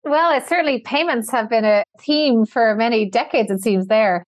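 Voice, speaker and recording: A woman's voice: a little high, moderate pace, no echo. Polished podcast host, woman, dry close recording. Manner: polished and composed. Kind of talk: scripted podcast introduction and interview questions.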